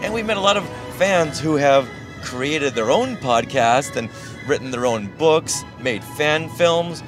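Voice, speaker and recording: A man's voice: fairly high pitch, lively intonation, measured pace. A man with an American accent co-hosting a podcast in casual, chatty style. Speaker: podcast co-host. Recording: music behind the voice. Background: music.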